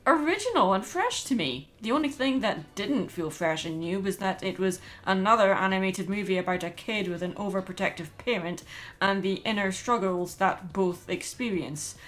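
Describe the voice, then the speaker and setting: A woman narrating a scripted film review as host, with an expressive, opinionated delivery. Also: mid-range voice, moderate pace. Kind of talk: scripted video essay narration. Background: background music under voice-over.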